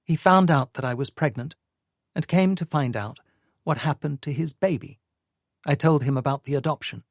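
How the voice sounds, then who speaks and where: A man's voice: on the high side, normal speed, no echo, pitched above the performer's own voice. A man voicing a cold, evasive woman in a dry audiobook reading, her lines cool and dismissive. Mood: cool, cutting.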